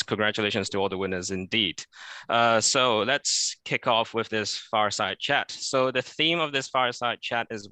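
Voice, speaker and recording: Bright-voiced man moderating a panel. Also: fairly low pitch, no echo. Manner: polite, formal, welcoming. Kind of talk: moderating a fireside chat.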